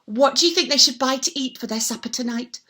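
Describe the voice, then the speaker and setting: A woman's voice: high voice, quick pace, bright, forceful tone. A woman telling a story to young children in a theatrical, playful way, repeating words and addressing the listener.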